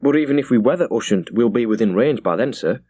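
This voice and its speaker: A man's voice: mid-range voice, expressive, fast, mellow, breathy edge. A man voicing an anxious, dutiful sailing master, urgently and deferentially reporting danger in an audiobook. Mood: worried. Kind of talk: dramatised audiobook character.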